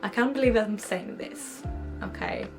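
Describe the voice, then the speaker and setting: A woman's voice: mid-range voice, measured pace, over music. A young woman from Greece with a Greek accent, telling her story in a chatty, giggly vlog to camera. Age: young adult. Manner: chatty, giggly, animated quoting of herself. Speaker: vlogger. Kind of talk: casual storytime chat to camera.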